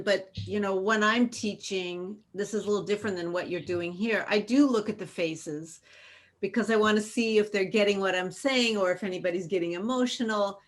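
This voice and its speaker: A woman's voice: mid-range voice, a bit bright. Woman on a zoom call, chatty, big voice from teaching rooms. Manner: big voice, trained to address a room, chatty. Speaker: tantra instructor. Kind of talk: asking questions on a video call. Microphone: video call.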